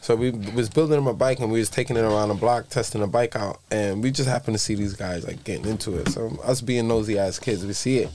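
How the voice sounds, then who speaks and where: A man in his thirties from the Bronx with a raspy voice, telling a story as a podcast guest in loose street slang. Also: fairly low pitch, fast talker, few pauses, darkish tone, slight background noise. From the Bronx, New York. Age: 30s. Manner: loose street slang, piles up vivid details. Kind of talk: casual storytelling chat.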